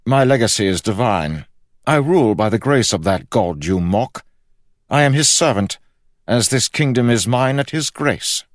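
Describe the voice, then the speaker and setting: A man's voice: mid-range voice, expressive, moderate pace, no echo, studio quality, voice pushed up, close to an everyday voice. A man voicing a pompous, sly, greedy king of England in an audiobook, with an imperious, droll delivery. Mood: haughty. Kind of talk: audiobook character acting.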